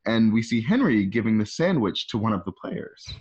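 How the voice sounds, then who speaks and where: Lowish-voiced man narrating a script. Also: very animated, moderate pace, darkish tone. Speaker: narrator reading stage directions. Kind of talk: present-tense screenplay narration. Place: at home. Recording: slightly dull home recording.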